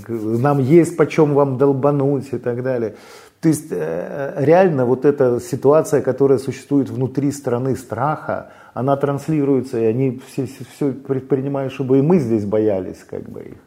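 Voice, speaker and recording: A man from Belarus talks haltingly as an interview guest. His voice is gravelly and fry-edged, dark and mellow in tone. He stops and restarts often, padding his sentences with fillers. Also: mid-range voice. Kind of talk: casual interview talk.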